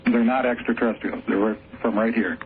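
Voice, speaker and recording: A man calling in to a radio show, stating his theory with flat certainty. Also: medium pitch, normal speed. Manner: assured, declarative. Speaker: caller. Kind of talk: call-in theory. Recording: tinny phone call with faint hiss.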